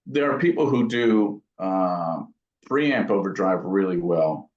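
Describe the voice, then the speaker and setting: A man with a fairly low voice speaks in a casual interview as a craftsman who builds gear. He is thoughtful and halting, working through technical detail in an informal way. The sound is dull and lo-fi, with a strong room echo. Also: expressive. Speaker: amp builder and company founder being interviewed.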